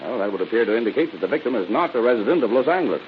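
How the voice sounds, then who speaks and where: Man playing matter-of-fact detective, very rapid speech, medium pitch, vintage radio drama, slight hiss. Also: expressive, lightly acted. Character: methodical, matter-of-fact male homicide detective. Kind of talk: old-time radio drama acting. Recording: dull, hissy old radio recording.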